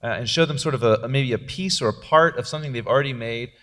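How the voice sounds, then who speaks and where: A man's voice: mid-range voice, fast, a bit bright, in a large hall. A man, a filmmaker, talking at a panel after a film premiere in a jokey, rambling way with many fillers. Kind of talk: post-premiere panel talk.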